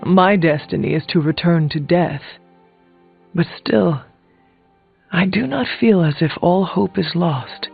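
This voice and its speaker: Casting: stoic game hero, creaky voice, terse formal delivery, tinny narrow-band sound with music underneath. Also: male voice, fairly high pitch, takes it slowly, exaggerated voice. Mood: grimly resolved. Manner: terse, formal, solemn, no contractions. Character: stoic, fatalistic warrior hero, demon's child. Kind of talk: scripted video game character dialogue.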